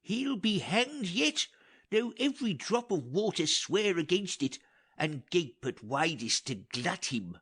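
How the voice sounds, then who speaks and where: A man playing a wry, talkative old courtier in a theatrical audiobook drama, speaking in a deliberate, sardonic way. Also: sits high, big pitch swings, a bit bright, slightly rough, voice pushed up, full character voice. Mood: wry, mocking. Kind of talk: dramatic audiobook reading of Elizabethan verse drama.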